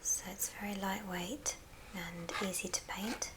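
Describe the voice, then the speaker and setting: A woman whispering a gentle, step-by-step ASMR craft tutorial in a soft, breathy voice. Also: medium pitch, steady tone. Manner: unhurried, gentle step-by-step instruction. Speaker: ASMR host demonstrating a craft. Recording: clear background hiss and clicks.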